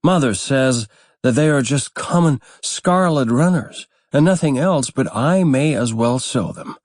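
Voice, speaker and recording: A man's voice: medium pitch, animated, unhurried, darkish tone, no echo, voice pushed up, lightly acted. A man voicing a giddy, kind-hearted young boy thinking to himself in a fairytale. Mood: musing, hopeful. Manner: musing to himself.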